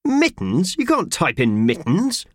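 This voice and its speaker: A man's voice: high voice, huge pitch swings, measured pace, no echo, clean studio sound, voice pushed up, heavily acted. A man voicing an irate, domineering male office boss in a children's story, barking and squawking his orders.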